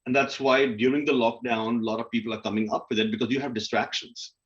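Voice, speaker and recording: A man's voice: medium pitch, level tone, fast, lo-fi sound. A man speaking candidly and informally as a panel guest, often voicing his inner dialogue in a conversational way. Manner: informal, self-questioning, acts out inner dialogue. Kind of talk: candid conversational panel talk about personal experience.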